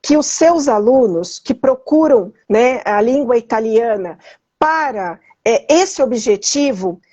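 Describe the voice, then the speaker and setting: A woman's voice: fairly high pitch, lively intonation, takes it slowly, mellow, dry recording. A woman hosting a live stream, chatty and effusive, with lots of tag questions to her guest and the audience. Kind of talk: casual live-stream conversation.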